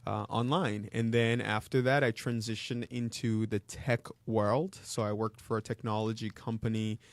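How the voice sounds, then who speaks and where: A man in his thirties from the Democratic Republic of Congo, a guest speaking in a measured way with frequent ums. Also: lowish voice, little pitch movement, dry recording. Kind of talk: interview conversation.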